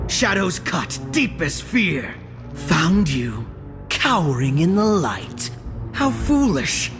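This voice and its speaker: A man's voice: fairly high pitch, creaky, full character voice. An actor voicing a calculating young male assassin in a game, speaking in a cool, mocking tone. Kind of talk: game voice lines. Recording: game audio with music.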